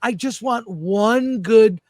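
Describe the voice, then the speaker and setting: Male livestream host, high-pitched voice, casual chat delivery, dry close sound. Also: slow, darkish tone, no echo. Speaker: talk show host.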